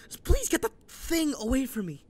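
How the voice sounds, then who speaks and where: A woman playing a captured male serial killer in a scripted audio roleplay, husky, evasive and dramatic. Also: high-pitched, wildly swooping pitch, moderate pace, darkish tone, exaggerated voice. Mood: terrified, pleading.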